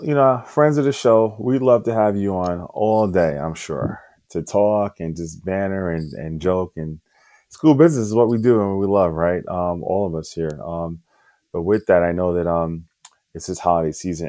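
A man co-hosting a podcast, relaxed and jokey as he steers the chat. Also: sits low, moderate pace, dry recording, clean studio sound. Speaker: podcast host. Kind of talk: casual interview.

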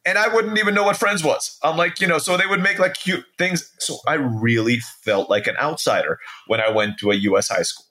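A man's voice: fairly high pitch, a little fast, hardly any pauses, pushed and bright. An American man in his forties telling personal stories casually as an interview guest, often tagging sentences with "right?". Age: 40s. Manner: conversational, peppered with "right?" tags.

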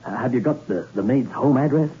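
An actor playing a dogged, jittery investigator in a 1960s radio thriller, with terse, probing, halting speech. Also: male voice, medium pitch, close to an everyday voice. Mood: probing, stern. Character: dogged, jittery hard-boiled male investigator named Logan. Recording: dull, hissy old radio recording.

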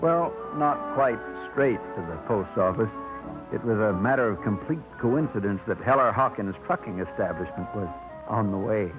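A man's voice: fairly low pitch, expressive, normal speed. Folksy male radio narrator, tinny. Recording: tinny old radio broadcast with music.

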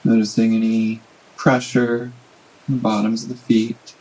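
A man leading a guided body scan meditation in a gentle, unhurried manner with long pauses. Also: lowish voice, monotone, poor audio.

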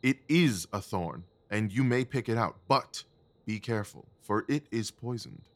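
A commanding detective in an audio drama, played by a man, with a lowish voice and very lively intonation. He is self-assured and decisive, speaking with deliberate authority. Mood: grave, intent.

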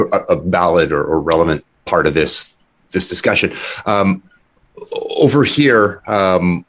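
A man hosting an interview, asking rambling questions with many restarts. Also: deep voice. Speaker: host.

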